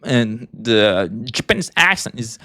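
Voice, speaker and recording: Young Turkish-accented man, smooth voice. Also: medium pitch, sing-song, sharp tone, no room sound. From Turkey. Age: 20s. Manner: halting, searching for English words. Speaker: vlogger. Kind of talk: rambling personal monologue.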